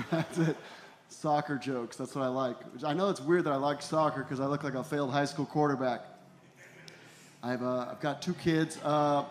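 Male comedian, 40s, slightly high voice, deadpan and self-deprecating stand-up delivery, live and echoey. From Ecuador and El Salvador. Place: small comedy venue in a tent, on stage. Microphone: handheld stage microphone. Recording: roomy live comedy club sound.

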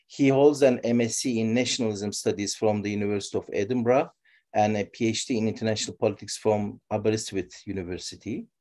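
A man's voice: lowish voice, dark tone. A man hosting an online seminar, reading a guest's bio with some stumbles. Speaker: seminar host. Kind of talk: reading a speaker introduction. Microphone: video call.

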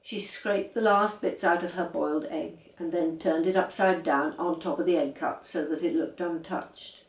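A woman's voice: fairly low pitch, steady tone, normal speed, hardly any pauses. An older woman reading a children's story aloud in a living room. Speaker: storybook reader. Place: small living room, indoors. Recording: roomy, distant microphone.

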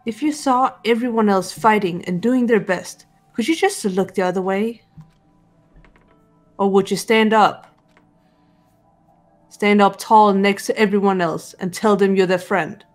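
A woman playing a composed, serious teenage girl in an anime game fan dub, speaking in a measured, deliberate way. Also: mid-range voice, steady tone, soft background music, natural voice. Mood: serious, resolute. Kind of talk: visual novel fan dub voice acting.

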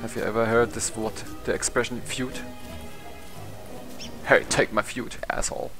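A man's voice: medium pitch, level tone, normal speed. A young man with a German accent, a non-native English speaker, casually commentating his gameplay, rambling, swearing and laughing at himself. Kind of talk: casual let's play commentary. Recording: game music behind the voice.

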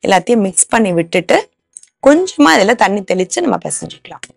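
Woman in her 30s walking viewers thru a recipe, bit of gravel in her voice, lapel mic in a tv kitchen. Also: medium pitch, big pitch swings, a little fast. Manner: explains each step plainly to viewers. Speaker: cooking show host. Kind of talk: step-by-step cooking demonstration. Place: studio kitchen set.

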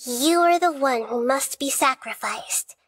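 A woman voicing a small, childlike female deity in a video game, gentle, wise and composed, speaking softly in measured, thoughtful phrases. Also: high-pitched. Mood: solemn storytelling. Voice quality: soft, girlish. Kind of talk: video game dialogue and fairy-tale narration. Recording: music under the voice. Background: music.

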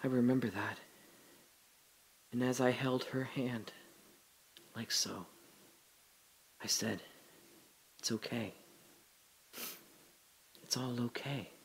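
An actor playing a dying older father, telling his memories in a halting, breathy dramatic monologue that drops to whispers. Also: mid-range voice, steady tone, rough recording. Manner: halting, breathy, emotional, many pauses and restarts. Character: dying old father recalling his daughter's birth.

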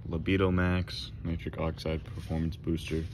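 A teenage guy on a phone vlog in a store, reading product labels aloud with deadpan jokes. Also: rumbling low, normal speed, noisy background. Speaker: vlogger. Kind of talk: casual handheld vlog. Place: large store, indoors. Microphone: handheld phone camera.

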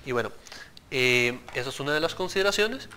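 A man's voice: mid-range voice, expressive, a little fast, sharp tone, slight background noise. A man, a product engineer explaining things earnestly in a TV studio interview on a lapel mic. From Central America. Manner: explanatory, earnest, addresses host directly.

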